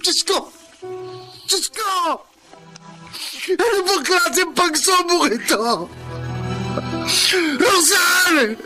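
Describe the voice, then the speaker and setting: An actor playing a gentle, sickly Filipino father, halting and emotional, in a radio drama. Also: extremely high pitch, expressive, heavily acted, poor audio. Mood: anguished, wailing. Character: sickly married father, grief-stricken yet grateful, gentle. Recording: background music under the voice.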